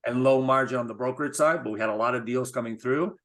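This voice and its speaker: Measured middle-aged man from Spain, interview guest, even mid-range voice. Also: medium pitch. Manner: measured, thoughtful, with frequent restarts. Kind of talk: casual interview talk.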